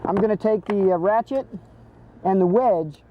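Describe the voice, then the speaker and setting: A man's voice: high voice, normal speed, dull audio, slight background noise. A man giving casual, practical technical explanations outdoors as a hands-on expert. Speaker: boat rigger, expert guest. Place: outdoors on a boat.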